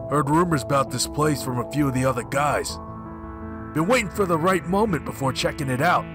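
A man's voice: on the high side, brisk, natural voice. An actor playing a brash, eager, uneducated henchman in an audio drama, talking in blunt slang. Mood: determined. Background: music.

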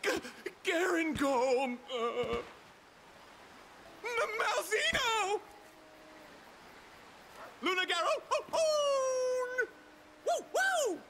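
A man voicing a cocky, excitable, goofy monster hunter in a video game, hammy and boastful. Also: very high-pitched, extremely slow, pauses often, a little hiss or hum, full character voice. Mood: excited, shouting. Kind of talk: video game combat voicelines. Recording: game sound effects behind voice.

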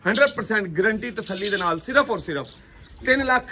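A man hosting a livestock sale video outdoors on a farm, pitching cows in brisk, persuasive seller's patter. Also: expressive, bright, forceful tone, slight background noise. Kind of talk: casual sales commentary. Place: outdoor dairy farmyard.

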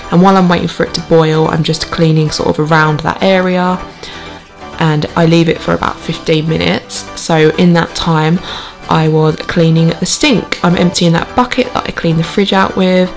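A woman's voice: low voice, vocal fry. A British woman in her thirties giving a casual, chatty voice-over as a home vlogger. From United Kingdom. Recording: voice-over with music behind. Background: background music.

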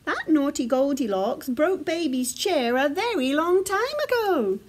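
Woman acting a patient, high-voiced mother bear. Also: big pitch swings, unhurried, a little hiss or hum. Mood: reproving. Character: patient, noncommittal adult female mother bear.

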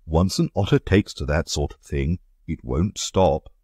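A man voicing a blustering, indignant country gentleman in a reading of a story. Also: rumbling low, a little slow, husky, no echo, clean studio sound, full character voice. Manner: blustering, emphatic.

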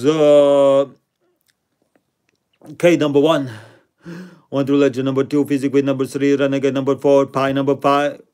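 A man, chatting playfully with viewers while he plays a word game on a live stream at home. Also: medium pitch. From Latvia. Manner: playful, self-mocking, reads out chat names and rankings. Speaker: livestream host. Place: indoor room at home.

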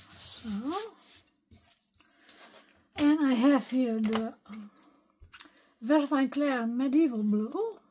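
Soft, slow-talking Dutch woman, voice weak. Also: on the high side, slight background noise. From Netherlands. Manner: soft-spoken, unhurried, talks to herself while working. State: voice weak and partly gone. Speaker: craft video maker. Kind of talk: thinking-aloud craft tutorial. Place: craft desk at home, filmed from overhead.

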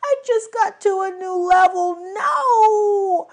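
A woman voicing a frantic, hostile young male satyr gamer in a storybook, shouting indignantly. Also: very high voice, takes it slowly, pitched above the performer's own voice, exaggerated voice. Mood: panicked protest.

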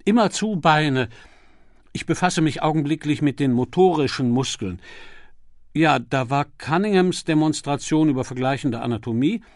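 A man's voice: a little high, animated, moderate pace, no room sound, clean studio sound. A man voicing a young medical student who is unsure, self-deprecating and hesitant, thinking aloud as in an audiobook. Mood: recalling.

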